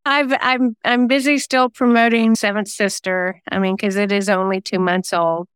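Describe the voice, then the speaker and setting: A woman's voice: medium pitch, fairly even intonation, normal speed, clean tone, dry recording. A woman giving reflective, earnest answers as a guest in a conversational interview. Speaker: guest, singer-songwriter and novelist.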